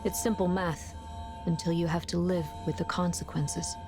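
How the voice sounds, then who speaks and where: An actress playing a grave, analytical spaceship crew officer in a sci-fi game, serious and matter-of-fact. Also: female voice, on the low side, level tone, moderate pace, natural voice. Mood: grave, reflective. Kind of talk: cinematic game drama acting. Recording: music behind the voice.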